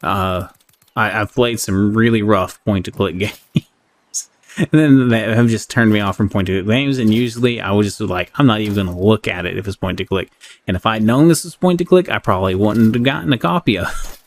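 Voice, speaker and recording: A man giving casual, unscripted game review commentary in a halting, conversational way. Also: fairly low pitch. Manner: halting, conversational, often checks in with "right?". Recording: clearly audible background noise.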